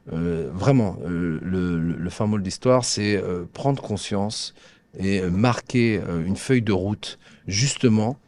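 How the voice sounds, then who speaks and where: A man giving formal public remarks as an agency representative, speaking haltingly with frequent hesitations and repeated words. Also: deep voice, darkish tone.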